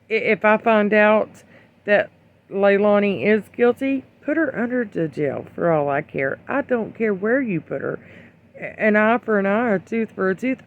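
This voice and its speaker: An opinion vlogger giving casual, rambling commentary in a blunt, admonishing way, with hesitant pauses. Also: medium pitch. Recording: dull lo-fi sound.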